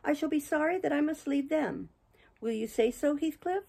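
A woman reading aloud and voicing a feverish, dying young woman, lifting her voice high in bitter, imploring speech. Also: lively intonation, normal speed, vocal fry, a little mellow, heavily acted.

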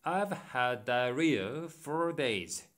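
Brief: Korean-accented man, careful read-aloud over a phone line, mid pitch. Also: lively intonation, heavy background noise. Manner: careful, deliberate read-aloud, slightly halting. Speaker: phone English practice partner. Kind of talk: reading phrasebook sentences aloud in turns. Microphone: phone call.

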